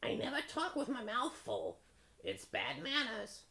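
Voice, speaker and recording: A man voicing a huge, greedy, fretful crow in a strained, squawky falsetto with prim, drawn-out phrasing, as in a storybook. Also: huge pitch swings, bright, forceful tone, exaggerated voice. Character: enormous greedy male crow, fretful and mannered.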